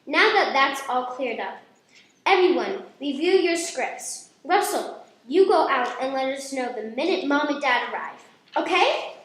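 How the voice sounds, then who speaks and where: A high-pitched woman acting as an older sister in a radio play, earnest and commanding, with an anxious edge. The room around the voice is very echoey. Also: lively intonation, unhurried, fairly bright tone. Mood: bossy. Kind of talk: stage-like amateur radio drama acting.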